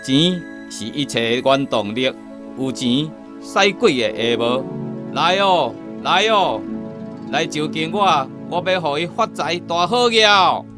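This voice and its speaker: A man's voice: on the high side, animated. A man acting in a Taiwanese radio drama as an alluring, boastful figure of money, beckoning with promises of riches. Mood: boastful, enticing. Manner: slow, beckoning. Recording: clear music bed under the voice.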